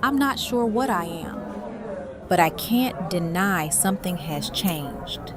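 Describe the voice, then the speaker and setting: An actress playing a guarded, thoughtful, reserved woman with a measured manner in an audio drama. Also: fairly low pitch, expressive, music in the background. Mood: tentative. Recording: crowd chatter behind.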